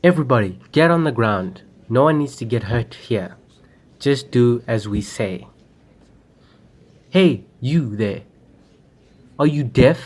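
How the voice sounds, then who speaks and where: A man's voice: medium pitch, expressive, takes it slowly, long pauses, a little mellow. A young man acting a commanding, impatient armed bank robber in an amateur radio drama. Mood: menacing. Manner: commanding, curt. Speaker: student actor.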